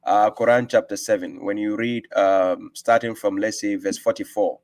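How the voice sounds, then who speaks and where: A man hosting a live stream, reading verses aloud slowly and explaining them. He often adds a questioning "right?". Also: fairly low pitch, fairly even intonation. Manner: reads scripture aloud with slow emphasis and explains it; asks rhetorical "right?" often. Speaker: host and religious teacher.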